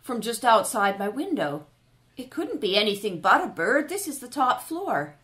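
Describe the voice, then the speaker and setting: In an old-time radio play, a woman plays a nervous young society lady whose slightly high voice rises and falls in lively, anxious swings. She questions and pleads with her companion. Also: moderate pace. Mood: worried. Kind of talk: 1930s radio drama reenactment.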